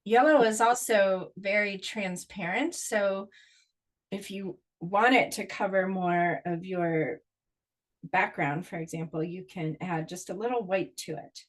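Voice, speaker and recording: A woman's voice: medium pitch, measured pace. A woman with a voice that carries, co-hosting a live painting class with chatty, encouraging tips. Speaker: co-host of painting session.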